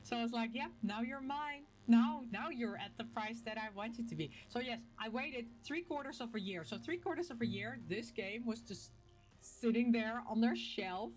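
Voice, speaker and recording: A woman from the Netherlands with a Dutch accent chats casually to her viewers as a gaming vlogger, telling things in an animated, playfully emphatic way with little acted-out quotes. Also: a little high, normal speed, faint music behind, low-quality recording. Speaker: video game vlogger and host. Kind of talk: casual, chatty talk to camera.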